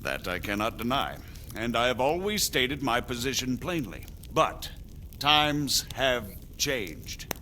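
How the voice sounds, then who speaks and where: Bright-voiced, diplomatic medieval margrave. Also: male voice, medium pitch, sing-song, slight background noise, exaggerated voice. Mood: measured, regretful. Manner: formal, deliberate. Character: measured, diplomatic medieval margrave pleading for order. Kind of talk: dramatic video game acting.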